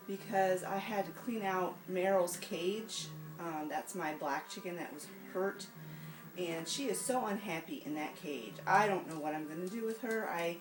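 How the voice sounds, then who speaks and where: A woman vlogging casually at home with a raspy, hoarse voice from allergies, chatty and rambling with plenty of ums. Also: on the low side, reverberant, distant mic, poor audio. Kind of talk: casual rambling vlog chat. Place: home and outdoor animal pens. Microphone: handheld camera, self-filmed.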